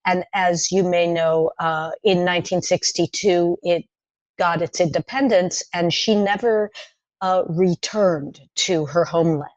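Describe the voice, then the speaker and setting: A middle-aged woman whose first language is French, recalling her childhood in a podcast interview, talking in a thoughtful, halting way with many fillers and restarts. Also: fairly low pitch, fairly even intonation, no room sound, highs cut off. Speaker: playwright guest.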